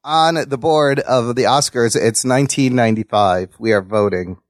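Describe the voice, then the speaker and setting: A man's voice: medium pitch, normal speed, dry recording, clean studio sound. A middle-aged man giving opinions on films as a podcast co-host, in an informal, emphatic way with some swearing. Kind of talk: casual opinionated film chat.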